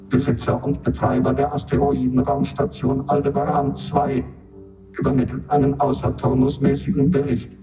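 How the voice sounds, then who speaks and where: Tinny, radio-filtered official announcer. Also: male voice, mid-range voice. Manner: formal, clipped, matter-of-fact announcements. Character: impersonal official voice reading out reports and roll call. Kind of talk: scripted report in radio drama. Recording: tinny narrow band, distant, music behind.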